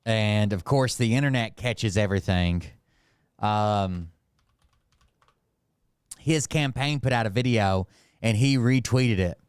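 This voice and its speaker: A man's voice: lowish voice, slow, pauses often, clear, resonant tone, no echo, clean studio sound. A man from Montreal hosting casual political commentary in a blunt, mocking, sweary way. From Montreal, Canada. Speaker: host commenting on political clips.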